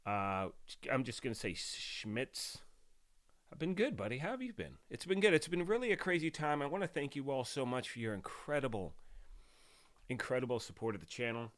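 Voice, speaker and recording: A man hosting a gaming live stream, talking casually and greeting viewers by name, a bit raspy while getting over a cold. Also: mid-range voice, animated, moderate pace, a bit bright. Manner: chatty, greets viewers by name, rambling restarts.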